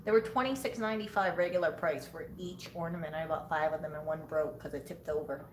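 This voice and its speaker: A woman's voice: deep voice, fast, reverberant, slight background noise. A woman co-hosting a live stream, chatting casually and teasing her co-host, laughing often.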